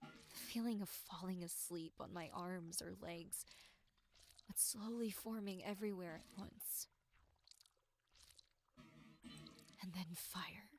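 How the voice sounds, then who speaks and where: A woman voicing a tormented, despairing victim in a horror game monologue, with breathy delivery that slips into whispers. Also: lowish voice, a little hiss or hum. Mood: agonized dread. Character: tormented, despairing young victim musing bleakly on existence. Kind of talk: dramatic first-person horror game monologue.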